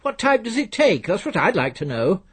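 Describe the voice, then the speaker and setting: A man's voice: high voice, sing-song, moderate pace, husky, pitched above the performer's own voice, exaggerated voice. A man voicing a pompous middle-aged solicitor, formal, pedantic and easily indignant, in a radio story.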